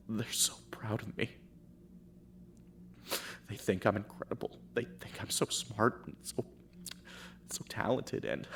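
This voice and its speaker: A man voicing a shaken teenage boy in a fan audio drama, who talks haltingly and stumbles over repeated words. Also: fairly low pitch, gravelly, a little hiss or hum. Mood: guilty, pleading.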